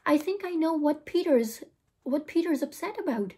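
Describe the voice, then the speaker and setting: A woman reading the part of a patient, reasonable father in a storybook, explaining things in a measured way. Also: high voice, expressive, mellow. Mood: reassuring.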